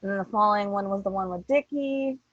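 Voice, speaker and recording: A woman's voice: medium pitch, fast talker, no echo. A woman podcast host chatting casually and playfully with swearing, on slightly dull online-call audio. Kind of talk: casual chat.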